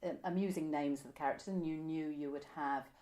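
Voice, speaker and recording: Low-voiced older Englishwoman, chatty. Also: steady tone, quick pace, warm tone. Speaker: interviewee, amateur pantomime writer. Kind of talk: casual reminiscing interview. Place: indoor room by a window, seated.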